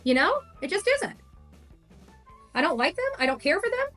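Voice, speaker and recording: High, bright, defiant woman. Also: big pitch swings, normal speed, soft background music. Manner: defiant, emphatic, combative. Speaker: livestreamer covering a case. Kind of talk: informal livestream monologue.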